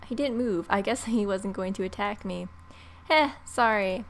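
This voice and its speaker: A woman's voice: mid-range voice, normal speed, gravelly, darkish tone. A young adult woman reading a visual novel aloud as a let's play host, with playful, wry asides.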